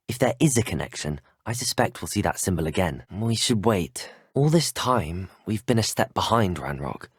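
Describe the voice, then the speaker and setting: A man's voice: lowish voice, slightly rough, no room sound, studio quality. A man voicing a polite, earnest teenage student wizard in a video game, speaking scripted lines in a thoughtful, well-spoken manner. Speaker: video game player character.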